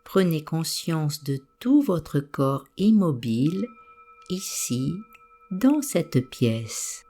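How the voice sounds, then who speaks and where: A woman guiding a meditation, giving gentle, soothing and unhurried instructions. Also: low voice, sing-song, soft background music. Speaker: meditation guide. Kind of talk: guided meditation narration.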